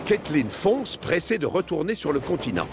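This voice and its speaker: A man's voice: a little high, sing-song, normal speed, very noisy. A man narrating a children's cartoon like a scripted storybook.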